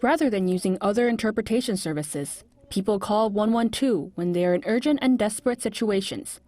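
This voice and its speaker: A woman police interpreter answering an interview in calm, earnest speech. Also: mid-range voice, brisk, no room sound.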